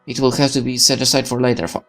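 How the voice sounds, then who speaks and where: A man narrates a visual novel as a gaming video reader. His medium-pitched voice is measured and wry, like someone telling a story. Music plays faintly behind him. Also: little pitch movement, a bit bright.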